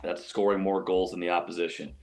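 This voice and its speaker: A man's voice: low-pitched, level tone, a little fast, darkish tone. A man giving sports analysis as an interview guest, explaining his points emphatically and often repeating phrases. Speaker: radio play-by-play announcer as guest.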